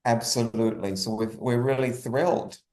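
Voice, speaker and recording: Male playwright guest, medium pitch, enthusiastic halting conversational delivery, echoey room sound. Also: steady tone, a little mellow. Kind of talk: conversational interview talk. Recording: roomy echo.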